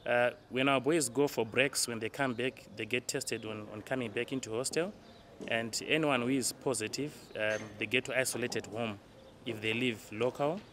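A middle-aged man answers interview questions in a medium-pitched voice. He is recorded outdoors, with noticeable noise around him. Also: a bit bright. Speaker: school hostel master. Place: outdoors by a brick school building. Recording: clear outdoor background noise.

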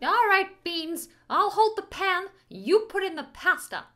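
A woman voicing a cheerful little pet hamster in a squeaky, very high voice for a children's video. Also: expressive, measured pace, pushed and bright, soft background music, exaggerated voice. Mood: chirpy.

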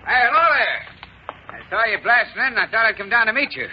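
An excitable, chatty foreman in a 1950s space radio drama. His male voice is high and very animated, on dull old audio. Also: fast. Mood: friendly. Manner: folksy, excitable. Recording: muffled, noisy 1950s radio recording.

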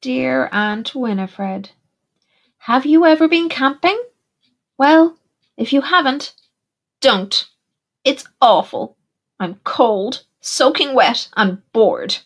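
Woman as small male storybook raccoon, glum, plaintive grumbling, drawn-out words, wide pitch swings. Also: expressive, heavily acted. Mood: miserable, pleading. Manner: complaining, plaintive.

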